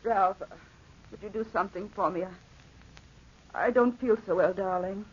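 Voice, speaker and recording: An actress playing an ailing, suspicious older aunt with a husky voice in an old-time radio drama. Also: female voice, medium pitch, normal speed, natural voice. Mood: anxious, calling. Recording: muffled vintage radio recording.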